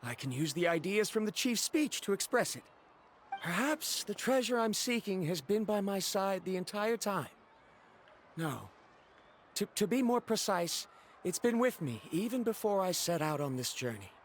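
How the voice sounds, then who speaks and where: High-voiced, bookish male scholar. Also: very animated, moderate pace, slight background noise. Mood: earnest. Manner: formal, hesitant, pedantic. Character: earnest awkward stubborn male scholar, bookish and formal. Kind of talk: video game voice acting.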